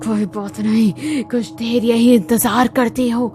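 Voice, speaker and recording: Woman as a slow, high-pitched dramatic witch. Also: steady tone, over music, natural voice. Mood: frustrated. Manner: exclaiming, self-talking, drawn-out dramatic delivery. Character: heat-weary female witch, grumbling, comfort-loving, childishly delighted. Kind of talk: Hindi cartoon moral-story acting.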